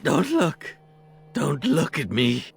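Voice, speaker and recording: An actor playing a dying, traumatized male survivor in a fantasy video game, speaking in broken, agonized, halting phrases. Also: on the high side, wildly swooping pitch, gravelly, a little hiss or hum, exaggerated voice. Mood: haunted, anguished. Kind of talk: video game character acting.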